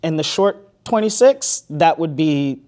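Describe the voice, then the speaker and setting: A man's voice: on the high side, lively intonation, unhurried, mellow. A man in his twenties from Florida, talking in an easygoing, casual way and laughing often. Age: 20s. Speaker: young adult interview participant. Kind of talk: casual conversation.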